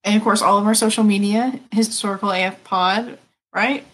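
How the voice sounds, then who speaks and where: A woman with a Southern US accent co-hosting a podcast, playful and quick, dropping in silly sounds. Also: mid-range voice, fairly even intonation, slightly rough, poor audio. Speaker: podcast co-host. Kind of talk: casual podcast chat.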